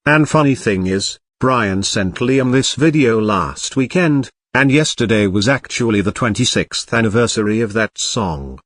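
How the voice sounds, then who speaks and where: A man's voice: fairly low pitch, lively intonation, measured pace, hardly any pauses, a bit bright, no echo, clean studio sound. A man hosting a talk show, delivering scripted segments and announcements to viewers. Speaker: show host.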